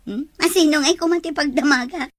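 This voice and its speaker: A woman acting a high-voiced, coy young office worker. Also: a little fast, a bit bright, no echo, studio quality. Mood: coy, amused. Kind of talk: Ilocano radio drama acting.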